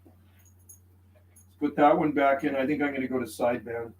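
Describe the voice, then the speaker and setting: A man's voice: mid-range voice, steady tone, normal speed, a little mellow, dull audio. A man chatting casually as a CB radio operator on a livestream, folksy and repetitive, using radio slang. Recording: roomy sound with faint background noise.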